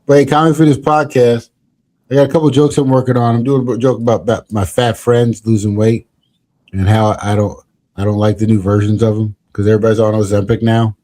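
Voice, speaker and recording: A man's voice: on the low side, little pitch movement, fairly quick, mellow. Rambling jokey podcast host, a man. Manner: rambling, jokey, restarts a lot. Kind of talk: casual comedic chat.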